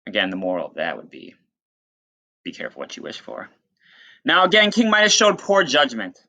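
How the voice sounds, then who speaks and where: A man's voice: medium pitch, huge pitch swings, brisk, creaky, pushed and bright. A man reading a story aloud like a teacher, with informal, instructive asides to his listeners. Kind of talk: read-aloud storytelling with plain explanatory asides. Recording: dull, lo-fi sound.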